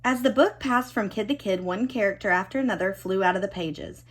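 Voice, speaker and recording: A woman reading a children's storybook expressively, with dramatic emphasis and drawn-out key words. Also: medium pitch, slight background noise. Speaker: storybook reader.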